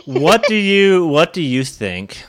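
A man's voice: a little high, sing-song, slow pace, dry recording. A young man hosting a casual interview, talking in an informal, easygoing, meandering way. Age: young adult. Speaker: podcast host.

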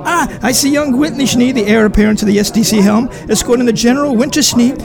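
A man's voice: extremely high pitch, fast, creaky, a crowd in the background. A man voicing a fawning, gossipy male society reporter covering a gala in a fan audio drama. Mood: gushing.

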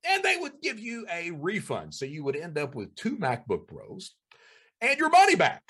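Animated husky man telling stories. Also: normal speed. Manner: animated, acts out quoted people in high voices. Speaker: former cybercriminal host. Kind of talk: storytelling monologue.

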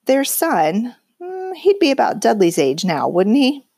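A woman's voice: fairly high pitch, wildly swooping pitch, measured pace, gravelly, mellow, no room sound, clean studio sound, voice pushed up, full character voice. A woman voicing a nervous, fussy middle-aged husband in a read-aloud story, hesitant and mumbling.

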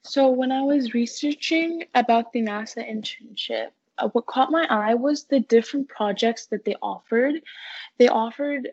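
Young woman, dull lo-fi sound. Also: a little high. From United States-born, living in Canada. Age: teens to young adult. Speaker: interview guest, student intern. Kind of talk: conversational interview answers.

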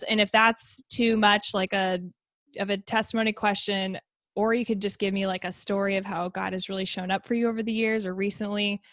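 A woman's voice: medium pitch, a bit bright, dry recording. Woman podcast host, laughs a lot, upbeat chatty, dry close sound. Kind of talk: casual interview chat.

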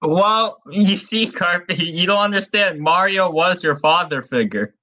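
Podcast co-host, male, bright somewhat high voice, dry mock-earnest delivery. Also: measured pace. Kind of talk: improvised comedy banter.

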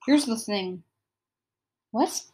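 A woman's voice: fairly high pitch, expressive, very slow, a little mellow, slight background noise. A woman doing casual reaction commentary as a video creator, guessing aloud and blurting dismissive remarks to herself and viewers.

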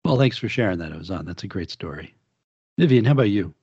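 A man hosting a casual podcast discussion, relaxed and conversational as he asks his guests questions. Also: quick pace, husky, no room sound. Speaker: podcast host. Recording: dull, dry, low-fidelity podcast audio.

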